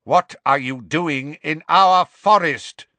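Man voicing a rough, proud centaur. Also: a little high, expressive, slow, fairly bright tone, dry recording, voice pushed up. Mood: outraged. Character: proud, hostile male centaur, stern and rough.

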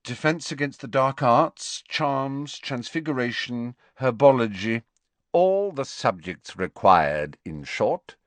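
A cold schoolmaster drops his voice low and quiet, each word clipped and sardonic, as a man plays him. The delivery is slow and unhurried, in a dry, close recording. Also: expressive, dry recording. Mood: sneering. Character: cold, sneering male schoolmaster.

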